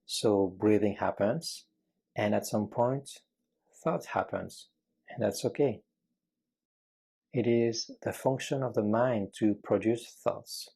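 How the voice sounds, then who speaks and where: A man guiding a meditation with calm, gentle, measured instruction and frequent pauses between phrases. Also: fairly low pitch, creaky, slightly rough. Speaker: meditation guide.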